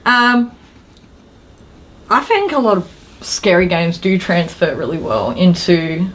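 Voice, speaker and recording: A woman's voice: fairly low pitch, sing-song, slow pace, poor audio. A woman co-hosting a gaming show in casual chat, with an informal, slangy way of talking. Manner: informal, slangy, mildly sweary. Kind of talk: casual chat about games and films.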